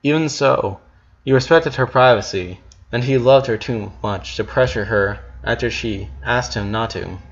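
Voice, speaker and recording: A man's voice: medium pitch, a little slow, muffled recording. A man reading a story aloud as narrator in a dramatic audiobook style, now and then stumbling and correcting himself. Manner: occasional stumbles and self-corrections.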